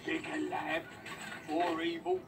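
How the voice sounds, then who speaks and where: A man playing an elderly, glum mad scientist in an animated film, hesitant and dry in manner. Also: fairly high pitch, takes it slowly. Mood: wistful, hesitant. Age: older. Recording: distant screen capture with film music.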